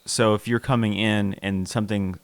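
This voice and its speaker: A man's voice: fairly low pitch, steady tone, normal speed, no echo. A man hosting a conversational podcast interview, asking questions in a tentative, roundabout way.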